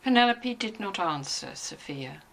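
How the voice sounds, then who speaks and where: A woman's voice: mid-range voice, animated, moderate pace, a bit bright, a little hiss or hum, rough recording. A woman reading scripted narration from a novel.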